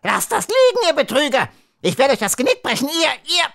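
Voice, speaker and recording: A man voicing a greedy, spiteful little monkey-like villain in an audiobook, ranting in shrill outrage. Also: huge pitch swings, brisk, gravelly, fairly bright tone, no room sound, clean studio sound, heavily acted. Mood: frantic, shrieking. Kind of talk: audiobook character acting.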